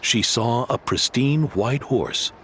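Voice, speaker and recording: A man's voice: mid-range voice, slow pace, fairly bright tone, slight background noise. A man voicing a proud older innkeeper in a video game, earnest and theatrical in a grandfatherly way. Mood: hushed wonder, storytelling. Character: proud, indignant older male innkeeper and storyteller. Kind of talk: video game story voice acting.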